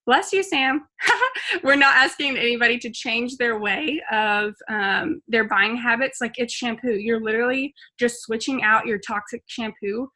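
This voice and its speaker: A woman hosting a video call, making an enthusiastic, persuasive pitch with plenty of fillers. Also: unhurried, pushed and bright. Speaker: host.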